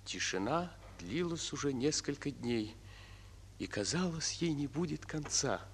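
A man narrating a radio drama in a solemn, deliberate, theatrical style. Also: medium pitch, expressive, slight background noise. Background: music.

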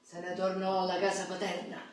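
A woman's voice: lowish voice, monotone, a little fast, close to an everyday voice. An actress playing a mocking working-class neighbourhood mother in a stage radio drama, with a drawling, theatrical delivery. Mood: gossiping. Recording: very echoey distant stage recording, noisy.

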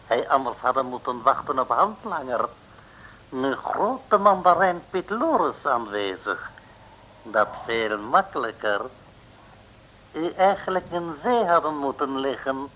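An actor playing a sly, cringingly polite foreign villain in an old radio serial, talking in broken, formal phrases. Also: male voice, medium pitch, unhurried. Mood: politely menacing. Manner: broken grammar, self-abasing flattery, formal address. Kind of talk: 1960s radio serial acting. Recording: noisy old radio recording.

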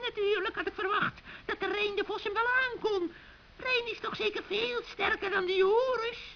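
Shrill, scheming old witch. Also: fairly even intonation, normal speed, creaky, slight background noise. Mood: scolding. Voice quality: shrill, cackling. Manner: taunting, mock-sweet, scornful. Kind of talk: 1960s children's radio play acting. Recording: dull, muffled old radio recording.

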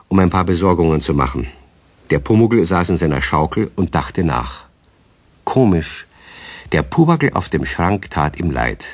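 A man narrating a children's radio play in a calm, expressive storytelling manner. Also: deep voice, no room sound.

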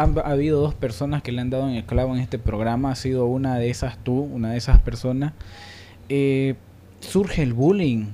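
A man's voice: medium pitch, dark tone. A man hosting a panel discussion, speaking reflectively with emphatic repetition as he searches for words. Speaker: radio programme host.

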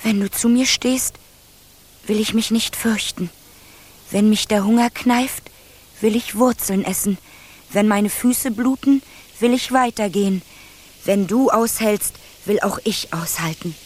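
An actress playing a brave, earnest fifteen-year-old princess in a fairy-tale radio play, speaking plainly in storybook phrases. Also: female voice, medium pitch, measured pace, lightly acted. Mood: resolute. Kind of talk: German radio play acting.

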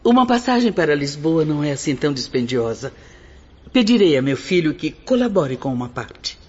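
Ailing, compassionate elderly woman acted by a woman, deep husky tone, radio drama, lo-fi. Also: sing-song, moderate pace, a little mellow, full character voice. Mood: supportive. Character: sympathetic, sickly older woman, once a beggar. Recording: dull lo-fi radio drama recording.

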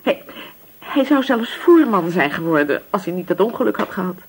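An actress playing a poor, anxious, grieving widow in a radio drama, speaking hesitantly and submissively. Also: female voice, mid-range voice, sing-song, moderate pace, darkish tone, breathy edge. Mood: subdued, sad. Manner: hesitant, repeating words, submissive.

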